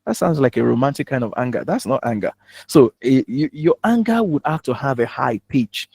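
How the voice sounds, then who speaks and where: A Nigerian man speaks as a public speaking coach in a live lesson. His delivery is emphatic and instructive, with points pressed home through rhetorical questions. His voice sits at an ordinary male pitch, and the sound is dry. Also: sing-song, fairly quick, creaky, dark tone, husky, no echo.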